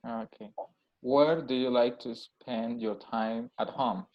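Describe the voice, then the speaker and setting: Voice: medium pitch, fairly even intonation, takes it slowly. Examiner asking brief questions, dull audio. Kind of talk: conducting speaking exam.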